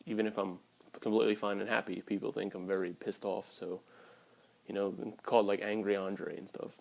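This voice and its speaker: A man's voice: fairly low pitch, flat delivery, a little fast, vocal fry, poor audio. A man in his early twenties talking casually and candidly to his viewers in a blunt, sweary vlog style. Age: early 20s. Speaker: vlogger.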